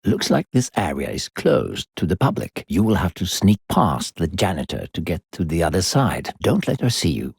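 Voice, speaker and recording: A man playing a sinister, coaxing disembodied narrator who guides a child in a video game, gravelly, slow, theatrical and condescending. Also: sing-song, no echo, studio quality, heavily acted. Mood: smooth, sly. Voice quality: deep, gravelly. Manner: smooth-talking, condescending, theatrical, slow and deliberate.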